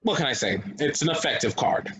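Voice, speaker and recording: A man's voice: medium pitch, animated, a little fast, bright, forceful tone, husky, exaggerated voice. A man playing a rattled, defensive but earnest young man of twenty-five in a table reading of a stage play. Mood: resigned, confiding.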